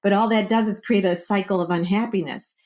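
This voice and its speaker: A woman's voice: mid-range voice, steady tone, a little fast, darkish tone. Woman coach giving conversational advice. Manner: explanatory, acts out example quotes. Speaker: wellbeing coach, podcast guest.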